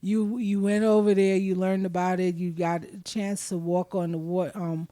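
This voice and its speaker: A woman's voice: lowish voice, flat delivery, moderate pace, dark tone, dry recording. A woman hosting a podcast in casual, frank conversation, asking probing questions. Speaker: podcast host.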